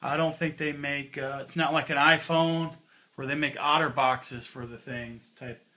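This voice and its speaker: A man's voice: a little high, steady tone, measured pace, bright, forceful tone. A man giving an informal, offhand hands-on product review in casual everyday speech. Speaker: gear reviewer.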